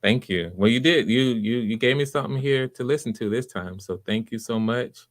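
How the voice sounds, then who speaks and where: A man hosting live auditions in casual, unscripted chat, with an encouraging manner and a halting way of repeating and backtracking. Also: mid-range voice, no room sound, clean studio sound. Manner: encouraging, supportive. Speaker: host running live voice auditions.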